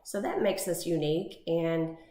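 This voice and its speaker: A low-pitched woman talks in a loose, chatty way, full of "you know" and restarts. Her voice is worn and fading, and she sounds as if she is in an echoey room. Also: normal speed, warm tone. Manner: chatty, emotional, rambling with many fillers. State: losing her voice. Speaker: co-host of a real estate talk show. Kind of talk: casual chat.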